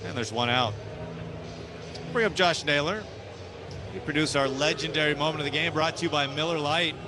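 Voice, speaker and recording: A man's voice: on the high side, normal speed, noisy background. A man with an American accent calling live baseball play-by-play on radio in short, clipped phrases.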